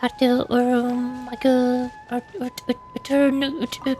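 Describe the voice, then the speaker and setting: A woman's voice: on the high side, monotone, slow, dark tone. A woman doing casual livestream game commentary, playfully muttering to herself, close on the mic. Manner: playful, mumbles aloud to herself. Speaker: VTuber game streamer. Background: game music.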